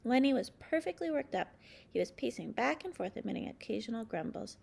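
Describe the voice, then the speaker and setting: A woman narrates a children's story aloud. Her voice sits a bit high and often crackles into creak. She reads expressively, leaning on key words with dramatic emphasis. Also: lively intonation, brisk, darkish tone. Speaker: storybook reader.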